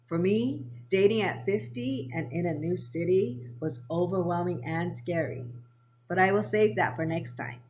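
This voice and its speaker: A woman of around 60, raised in Japan and Hawaii, narrating her own life story for a vlog in a measured, read-aloud way. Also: lowish voice, a little mellow, highs cut off, slight background noise. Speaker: vlogger. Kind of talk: scripted personal narration.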